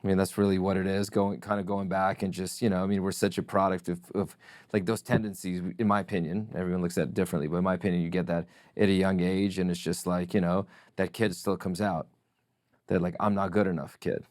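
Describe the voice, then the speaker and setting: Russian-native man, middle-aged, deep voice, dry close mic, thoughtful interview guest. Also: little pitch movement, a little mellow, dry recording. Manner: reflective, measured storytelling. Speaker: podcast guest. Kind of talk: casual interview chat.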